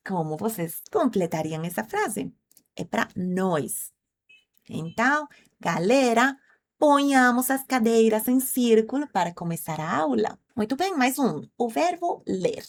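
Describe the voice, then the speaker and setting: A woman whose first language is Spanish, teaching Portuguese in an online lesson, explaining patiently and quizzing viewers. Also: a little high. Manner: clear, patient explaining with drilled conjugations; asks the viewers questions directly.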